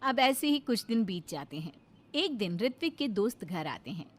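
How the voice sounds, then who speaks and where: A woman's voice: medium pitch, big pitch swings, moderate pace, a little hiss or hum. A woman reading scripted narration for a cartoon story.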